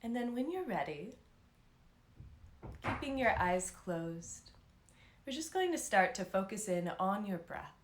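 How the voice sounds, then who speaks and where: A woman's voice: mid-range voice, a little hiss or hum. Woman guiding meditation in an echoey room. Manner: calm, soothing, unhurried with long pauses. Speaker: meditation and yoga instructor. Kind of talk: guided meditation, unscripted live instruction.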